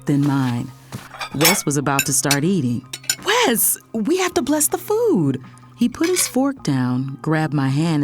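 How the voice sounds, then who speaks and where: A woman acts out a playful, sassy young woman in an audio romance, telling her story in the first person. Her voice sits a little low and swings with animation. Faint music plays behind her. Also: full character voice. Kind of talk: first-person audio drama narration and dialogue.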